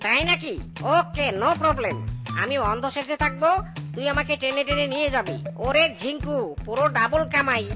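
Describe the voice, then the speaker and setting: Woman voicing a high-voiced, sly cartoon monkey. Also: normal speed, over music, natural voice. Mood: smug. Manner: brash, smug, slangy. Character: sly swaggering monkey con artist posing as blind beggar. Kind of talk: cartoon voice acting.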